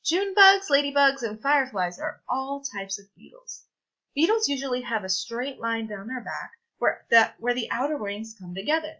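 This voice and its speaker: Bright, high-voiced woman reading aloud. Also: expressive, normal speed, highs cut off. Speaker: read-aloud presenter. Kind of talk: reading a children's science picture book aloud.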